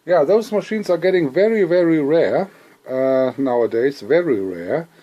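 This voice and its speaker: A man's voice: on the high side, lively intonation, measured pace, dark tone, lo-fi sound. A man giving an informal, unscripted demonstration as a hobbyist, speaking hesitantly and repeating phrases for emphasis. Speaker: hobbyist presenter demonstrating vintage audio gear.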